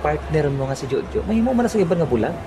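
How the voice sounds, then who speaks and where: A woman's voice: low-pitched, sing-song, very fast, music in the background. Teasing young man, as a woman voices him. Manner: teasing, playful. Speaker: character in an audio drama. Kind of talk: amateur audio drama acting.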